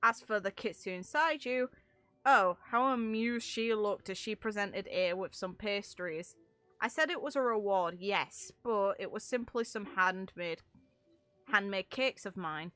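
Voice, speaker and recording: Bright-voiced woman, wry and casual. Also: mid-range voice, a little slow, no echo, soft background music. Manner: wry, sarcastic asides, stumbles while reading. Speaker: streamer reading and reacting to game lore. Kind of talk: casual reading aloud with off-the-cuff commentary.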